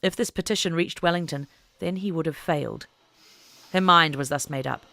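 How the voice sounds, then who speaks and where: A woman reading scripted fiction as an audiobook narrator, in an expressive, deliberate storytelling manner. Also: deep voice, creaky.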